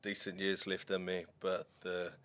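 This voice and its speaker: A man in his thirties with a New Zealand accent, chatting casually as a podcast guest in a relaxed, laid-back way. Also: low-pitched, unhurried. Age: 30s. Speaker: podcast guest, rugby player. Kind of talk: casual chat.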